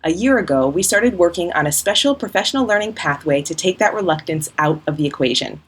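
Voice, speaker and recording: A woman host reading a scripted outro for an education program in a smooth, promotional way. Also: fairly low pitch, animated, a little fast. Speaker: host and company founder.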